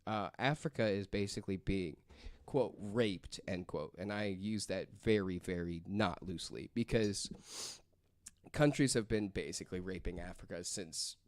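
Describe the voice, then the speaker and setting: A man's voice: sits low, moderate pace, no room sound. A man presenting a story on a casual podcast, reading from notes and rambling through asides peppered with "like".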